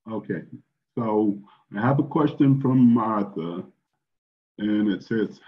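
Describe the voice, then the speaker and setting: An older man hosting a story time, speaking in a deliberate, measured way as he reads aloud and chats with listeners. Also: on the low side. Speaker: storyteller and host. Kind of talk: expressive read-aloud storytelling, then casual chat. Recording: dull, lo-fi online call audio.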